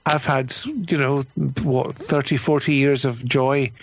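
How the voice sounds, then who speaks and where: A man's voice: mid-range voice, unhurried. A man in his fifties giving an enthusiastic, emphatic and conversational review as a radio critic. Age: 50s.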